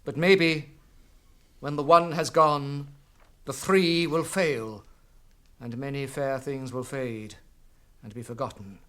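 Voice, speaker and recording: An actor with a British accent playing a solemn, wise ancient elf lord in a radio fantasy drama, speaking in formal, weighty oratory. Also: male voice, mid-range voice, steady tone, slow, long pauses, pushed and bright. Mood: stern, sorrowful.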